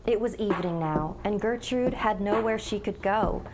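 A woman's voice: medium pitch, normal speed, over a noisy crowd. A woman in her thirties giving a scripted, dramatic narration as a scary-story teller. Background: background music.

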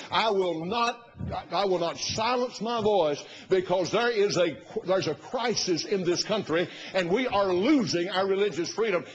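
An older man in his sixties with a husky voice, preaching an emphatic, oratorical sermon as a pastor. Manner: emphatic, oratorical, rising to loud bursts and dropping low. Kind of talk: fiery sermon. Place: large church sanctuary, at the pulpit platform. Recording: muffled recording in a reverberant church hall.

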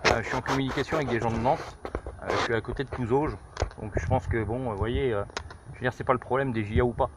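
Fast-talking middle-aged man, noisy outdoors. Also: mid-range voice, fairly even intonation. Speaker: amateur radio operator testing a handset. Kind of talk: informal radio contact, field test. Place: outdoors on a high viewpoint over countryside. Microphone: camera held just behind his shoulder. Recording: noisy outdoor phone camera, clicks.

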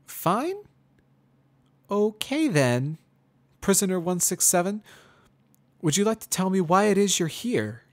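A man's voice: high-pitched, sing-song, slow, long pauses, voice pushed up, exaggerated voice. A man voicing a polite, earnest young female pony student with a prim, questioning manner in a dramatic story reading. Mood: prim, probing. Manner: prim, questioning, forced friendliness. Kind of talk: dramatic reading of fan fiction.

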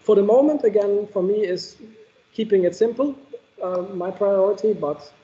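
A man with a fairly high, mellow voice answers technical questions after a keynote. He talks in a thoughtful way and hesitates often with fillers. The sound is a little dull and lo-fi. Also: normal speed.

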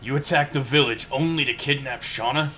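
A man's voice: mid-range voice, brisk, pushed and bright, soft background music. A man streaming a game, mixing casual banter with amateur voicing of the hero's lines. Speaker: streamer playing and voicing the hero.

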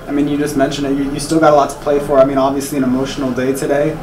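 A man's voice: mid-range voice, completely flat delivery, racing. A man, a reporter, asking questions at a press conference in a rambling way, restarting as he goes, picked up off-mic in the room. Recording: roomy, noisy, off-mic.

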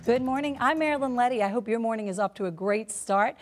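A woman's voice: a little high, quick pace, over music. A young adult woman working as a TV reporter and host, reading polished scripted broadcast narration in a projected, presentational way.

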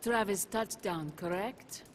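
An actress playing a proud, weary, philosophical woman assassin in a video game, speaking gravely and formally. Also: medium pitch, takes it slowly, soft background music, lightly acted. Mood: composed, regretful. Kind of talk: dramatic video game cutscene acting. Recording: game audio with clear background noise.

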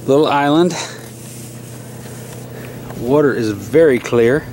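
A man's voice: mid-range voice, takes it slowly, darkish tone. A man talking casually in short, plainspoken remarks, as a vlogger filming outdoors on a boat. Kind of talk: casual outdoor vlog narration. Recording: clear boat and outdoor lake noise.

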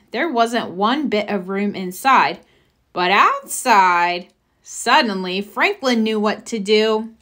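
A woman narrates a children's storybook with careful expression. Her voice sits a little high and moves up and down in a lively way. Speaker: storybook reader. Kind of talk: children's read-aloud narration.

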